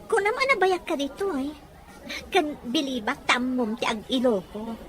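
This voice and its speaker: A woman acts out a scheming, ambitious young woman in a drama. Her voice sits high, and clear background noise runs behind it. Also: very animated, normal speed, a bit bright. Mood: sweet, curious. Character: scheming young woman chasing a ticket to America. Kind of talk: acted Ilocano radio-style drama.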